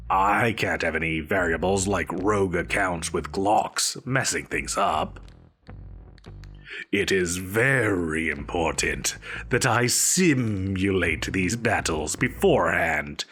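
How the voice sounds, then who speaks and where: An actor playing a pompous, petulant supervillain boss in an audio roleplay, blustering theatrically and defensively. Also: male voice, sits low, pushed and bright, husky, heavily acted. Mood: scolding, flustered. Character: pompous, petulant, evasive male supervillain employer. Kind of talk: scripted audio roleplay addressed to a listener.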